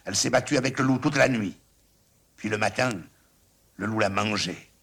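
A worried old farmer, louder and brighter than the storyteller, exclaiming and pleading with a husky edge, as a man reads him in a storybook. His voice is fretful and insistent. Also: lowish voice, normal speed. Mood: pleading, scolding. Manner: raised, exclaiming.

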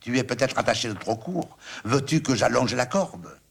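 A man voicing an anxious, fretful old farmer who exclaims and scolds in a raised, husky voice. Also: mid-range voice, expressive, normal speed, pitched above the performer's own voice. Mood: pleading, scolding.

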